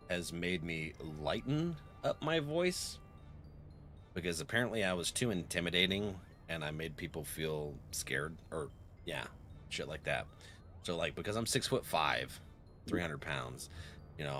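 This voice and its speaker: Deep, animated man chatting on stream. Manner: animated, laughs often, easygoing. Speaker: game streamer and voice actor. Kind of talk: casual live-stream chat. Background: faint music.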